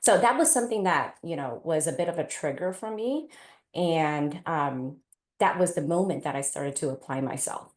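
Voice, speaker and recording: A woman's voice: low-pitched, lively intonation, normal speed. A middle-aged woman from Taiwan and California telling personal stories as a parent on a panel. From Taiwan, then California from age eight. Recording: roomy, echoey event space.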